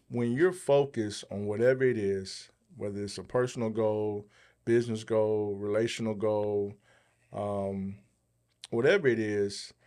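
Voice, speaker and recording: A man's voice: on the low side, darkish tone. Man hosting a motivational podcast segment. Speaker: podcast host, motivational speaker.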